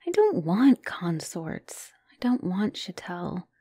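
Devoted monster girlfriend played by a female actor, ASMR roleplay: mellow, dry close sound, tender, apologetic, hesitant. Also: fairly low pitch, sing-song, slow pace, husky, no echo, exaggerated voice. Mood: hesitant confession. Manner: tender, hesitant, often dropping to whisper. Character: loving, anxious, apologetic spider-woman fiancée.

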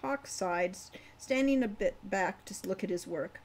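A woman's voice: mid-range voice, moderate pace, a little mellow. A woman in her sixties reading her own fantasy novel aloud as story narration at home. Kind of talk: audiobook-style story narration. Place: room at home, indoors.

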